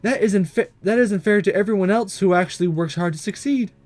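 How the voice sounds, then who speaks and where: Man reading as an earnest, high-voiced schoolgirl class rep. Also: a little fast, a little mellow, dry recording, faint music behind, lightly acted. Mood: troubled, conscientious. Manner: hesitant, careful, formal wording. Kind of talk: visual novel dialogue read aloud.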